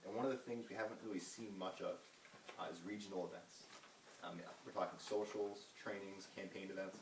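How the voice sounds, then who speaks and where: Young guy losing his voice, deep flat delivery, lots of ums, echoey room from far off, noisy. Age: young adult. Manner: halting, filler-heavy speech with many ums and uhs. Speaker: campaign surrogate giving a speech. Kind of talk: informal campaign speech. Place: meeting room at a retreat. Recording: roomy, distant, noisy hall recording.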